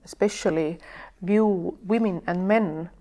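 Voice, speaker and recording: A woman with an Estonian accent, speaking English as a second language. She is a sexual health educator being interviewed, and she talks haltingly, with many fillers as she searches for words. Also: on the low side, dark tone. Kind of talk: podcast interview.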